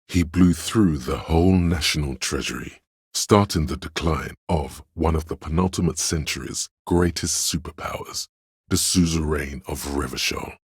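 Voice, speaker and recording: A man voicing a wry, grandiloquent, erudite inner voice of trivia in a video game, lecturing in measured scripted narration. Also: very deep voice, slightly rough, dry recording, clean studio sound, exaggerated voice. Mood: grand, wry.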